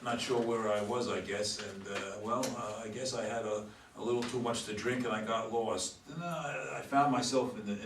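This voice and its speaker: A man in a stage play reading, playing a flustered, evasive homeless man with a halting, stammering delivery. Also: medium pitch, natural voice. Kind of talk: stage play read aloud by readers' theater. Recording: echoey room, distant mic, faint noise.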